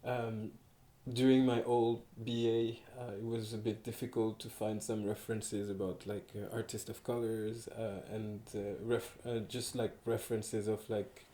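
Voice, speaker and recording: A man's voice: fairly low pitch, little pitch movement, measured pace, hardly any pauses, dark tone. A young man from Paris with a French accent, speaking casually as a textile artist guest, with many hesitations, restarts and laughs. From France, Paris. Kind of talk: casual conversation. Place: small farmhouse room, indoors. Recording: echoey room.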